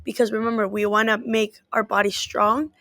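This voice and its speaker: A woman's voice: medium pitch, level tone, normal speed, sharp tone, no echo. A woman whose first language is Spanish, an athletic trainer answering interview questions in an earnest, upbeat way.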